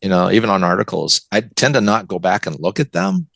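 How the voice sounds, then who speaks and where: A man's voice: on the low side, very animated, brisk, vocal fry, fairly bright tone, no echo. An older American man, a writer from the West Coast, giving enthusiastic, reflective answers as an interview guest. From West Coast of the United States. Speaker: guest, novelist and college teacher.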